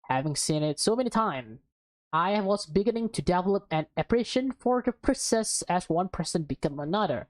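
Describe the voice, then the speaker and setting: A woman's voice: lowish voice, expressive, brisk. A woman reading a visual novel aloud as a let's player, informally, with casual asides and stumbles. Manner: informal, stumbles over words and comments on the story. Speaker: let's play host. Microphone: close mic. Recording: background music under the voice.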